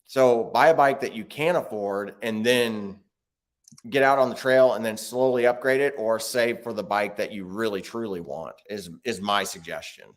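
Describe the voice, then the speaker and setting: Lowish guy on a video call, relaxed, rambling explainer. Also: moderate pace. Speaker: guest, bike video creator. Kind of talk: casual remote interview.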